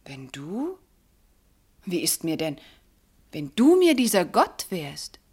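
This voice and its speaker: An actress playing a devout, loving and bewildered wife, speaking classical verse fervently and hesitantly in a radio drama. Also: female voice, lowish voice, huge pitch swings, slow pace, darkish tone, husky, heavily acted.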